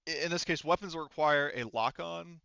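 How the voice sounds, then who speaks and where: A man running a tabletop roleplaying game as game master, explaining things casually and haltingly with frequent restarts. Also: fairly high pitch, gravelly, bright, forceful tone, clear, resonant tone, no room sound, muffled recording.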